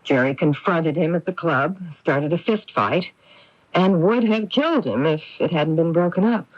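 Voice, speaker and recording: A middle-aged actress playing a poised, brisk, reassuring newspaper columnist in a radio drama. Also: female voice, lowish voice, natural voice. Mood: guarded, informative. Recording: dull, dry radio broadcast tape.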